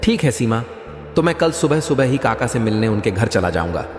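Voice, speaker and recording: A man's voice: mid-range voice, big pitch swings, brisk, faint music behind, lightly acted. Echoey man acting an earnest village farmer. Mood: hopeful. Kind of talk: Hindi moral-story cartoon voice acting. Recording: echoey, long reverb.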